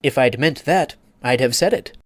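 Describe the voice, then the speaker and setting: A man's voice: mid-range voice, no room sound, voice pushed up, natural voice. A man voicing a pompous, easily offended egg creature who lectures and takes offense. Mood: lecturing. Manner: haughty, quick to take offense, lecturing.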